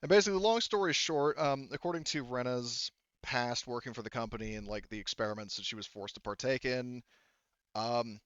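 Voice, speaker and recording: Bright, clear-voiced man running a tabletop game. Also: medium pitch, no room sound, lo-fi sound. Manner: halting, conversational. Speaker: game master. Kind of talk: improvised tabletop roleplay narration.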